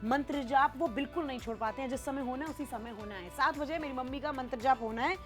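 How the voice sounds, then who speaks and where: A woman's voice: sits high, quick pace, soft background music. A young woman presenting a product on a home shopping show in a chatty, casual way, slipping English phrases into her talk. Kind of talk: teleshopping sales chat.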